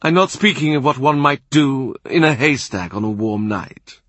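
A man voicing a domineering, blustering powerful cardinal, husky and sarcastic. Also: medium pitch, lively intonation, normal speed, no echo, pitched above the performer's own voice. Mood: indignant. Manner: forceful, imperious, sarcastic.

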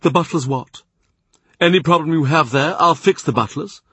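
A man voicing a domineering, blustering powerful cardinal, husky and sarcastic. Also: on the high side, moderate pace, no echo, pitched above the performer's own voice. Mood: imperious. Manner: forceful, imperious, sarcastic.

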